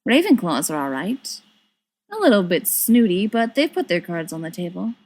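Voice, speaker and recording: A woman's voice: lowish voice, big pitch swings, normal speed, a little mellow. A woman reading a story aloud as scripted narration, in the manner of an audiobook reader.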